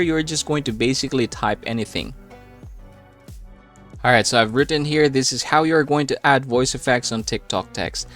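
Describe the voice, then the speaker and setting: A man's voice: mid-range voice, a bit bright. A man narrating a step-by-step app tutorial in a casual, instructional way. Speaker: tutorial host.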